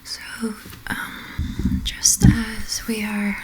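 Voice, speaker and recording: A woman speaking in a soft, breathy whisper as an ASMR host, gently and hesitantly guiding listeners to relax. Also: medium pitch, completely flat delivery, extremely slow. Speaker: ASMR host, energy healer.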